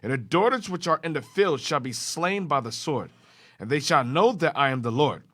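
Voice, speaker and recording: A man reads scripture aloud in a measured, formal manner. His voice is bright and sits a little high. His pitch moves a lot as he reads. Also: expressive. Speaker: scripture reader.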